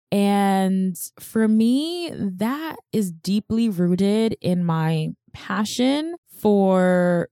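A woman's voice: medium pitch, mellow, no room sound. A young woman hosting a podcast, telling her own story in a candid, chatty, self-deprecating way on a close mic. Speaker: podcast host. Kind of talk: personal storytelling monologue.

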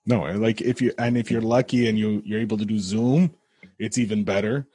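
A man's voice: fairly low pitch, fairly even intonation, a little fast, warm tone, slightly rough, no room sound. A man hosting a podcast and chatting with a guest in an easygoing, agreeable way. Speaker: podcast host. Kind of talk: casual interview chat.

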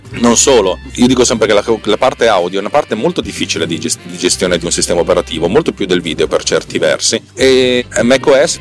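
A man hosting a podcast, giving informal technical explanations in a hesitant, self-correcting, colloquial way. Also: on the low side. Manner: colloquial, self-deprecating, mildly profane. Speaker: podcast host.

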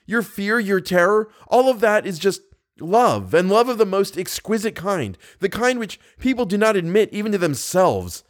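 A man's voice: mid-range voice, expressive, moderate pace, natural voice. A man reading an audiobook, playing a jealous young male lover who is bitter and impetuous. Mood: bitter, accusing. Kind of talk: audiobook character dialogue.

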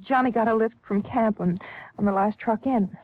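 A woman's voice: on the high side, natural voice. An actress playing a nervous, secretive young newlywed woman, hesitant and earnest, in a 1950s radio drama. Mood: frightened, earnest. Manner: hesitant, halting, anxious. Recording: tinny, band-limited 1950s radio recording.

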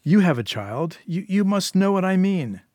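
A man's voice: sits high, very animated, normal speed, darkish tone, no room sound, studio quality, voice pushed up. A man voicing an earnest, distressed woman nurse in an audiobook, urgent and pleading as she stands her ground.

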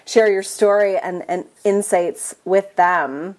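A woman's voice: medium pitch, slow, darkish tone. A Canadian woman hosting a podcast, upbeat and enthusiastic, saying "like" often in casual talk. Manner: upbeat and enthusiastic, with frequent likes. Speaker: podcast host. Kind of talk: podcast intro and casual interview.

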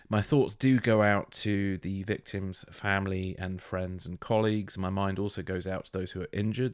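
Deep voiced podcast guy, earnest, a bit halting, dry close mic. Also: no echo. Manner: measured, earnest, often halting with fillers. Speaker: podcast co-host. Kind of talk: podcast discussion, reading from news notes.

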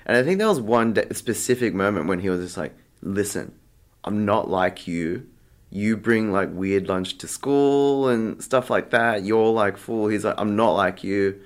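An Australian man chatting casually as an interview guest, telling stories in a rambling, halting way full of filler "like". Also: fairly low pitch. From Australia, Sydney. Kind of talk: casual anecdotal chat.

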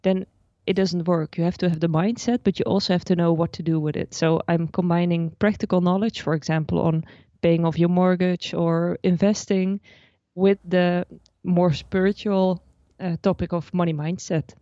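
A woman's voice: lowish voice, little pitch movement, moderate pace, mellow, dry recording, muffled recording. A Dutch woman, a personal finance blogger, explaining her ideas at length as a guest in a podcast interview, with frequent restarts. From Netherlands.

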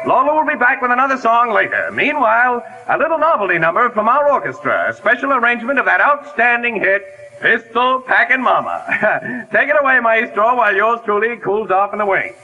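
An actor playing a brash, excitable theater producer in a 1940s radio drama, with breezy showman banter. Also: extremely high pitch, fairly quick, full character voice. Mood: showman hype. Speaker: revue emcee. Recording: dull 1940s radio recording.

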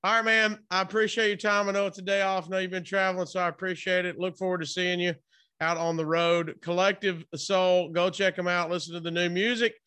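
High, bright-voiced male radio interviewer. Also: little pitch movement, a little fast, clear, resonant tone. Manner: informal, jokey. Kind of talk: casual interview chat.

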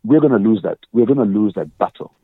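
A man's voice: lowish voice, expressive, fast talker, slightly rough, no echo, narrow, tinny audio. A man with a Ugandan accent, a guest earnestly explaining his views with examples and quoted questions. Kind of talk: conversational interview.

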